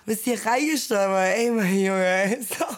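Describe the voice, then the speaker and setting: A woman playing an affectionate, giggly wife in a radio play, teasing her husband in halting, repetitive, naturalistic dialogue. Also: medium pitch, lightly acted. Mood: amused, teasing. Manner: giggly, halting, repeats herself.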